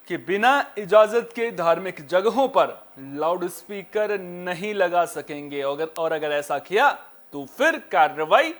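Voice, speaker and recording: A man's voice: high-pitched, fairly bright tone. A man anchoring a news bulletin, speaking emphatically and often restating his points. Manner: emphatic, repeats and rephrases points.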